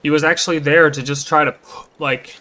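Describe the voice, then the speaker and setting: A man's voice: a little high, steady tone, brisk, a crowd in the background. A man giving casual, blunt, informal commentary as he plays through a game. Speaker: game streamer.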